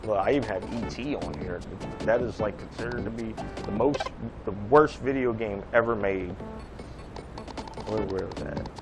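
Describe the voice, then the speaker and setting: A man's voice: lowish voice, normal speed. A man giving casual, rambling gameplay commentary as a channel host, grumbling and swearing in an offhand way, with game audio behind him. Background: loud retro video game music and sounds.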